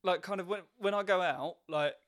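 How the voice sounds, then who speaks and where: A man from Essex with a light estuary English accent hosts a relaxed podcast conversation. His voice is a little on the high side. He speaks with careful enunciation and keeps the chat moving with quick strings of "yeah, yeah.". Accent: mild Essex, estuary English. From Essex, England. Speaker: podcast host, stand-up comedian.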